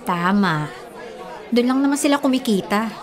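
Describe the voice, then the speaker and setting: A woman's voice: fairly high pitch, animated, normal speed, close to an everyday voice. A woman acting a chatty, down-to-earth, encouraging bar waitress in a Filipino radio drama. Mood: reassuring, chatty. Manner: chatty, coaxing. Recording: music and crowd chatter behind.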